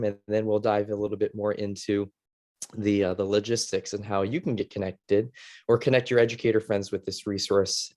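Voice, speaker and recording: A middle-aged American man from Ohio giving an informal online presentation as a former teacher, in a casual, halting way with many fillers. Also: mid-range voice, darkish tone. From Ohio, United States. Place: video call.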